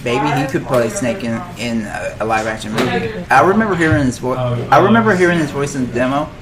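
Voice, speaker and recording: A man in casual, opinionated conversation, with a mid-pitched voice. He rambles, doubling back on phrases as he goes. A noticeable crowd chatters behind him. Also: expressive, brisk. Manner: rambling, often restarting and repeating phrases. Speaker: podcast panelist. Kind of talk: casual opinionated chat about games and films.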